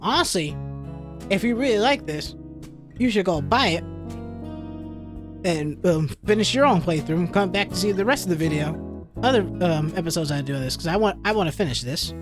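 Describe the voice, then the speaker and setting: A game streamer speaks casually and informally, as in a let's-play. The pitch sits in a middle range, and game music plays clearly behind the voice. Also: expressive, normal speed, long pauses. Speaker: video game streamer reading a visual novel aloud.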